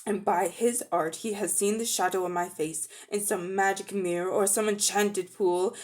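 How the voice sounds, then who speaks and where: A woman's voice: mid-range voice, steady tone, moderate pace, a little mellow, natural voice. A woman voicing a haughty, towering evil sorceress queen in a storybook, grand, commanding and contemptuous. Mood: imperious. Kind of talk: dramatic storybook reading.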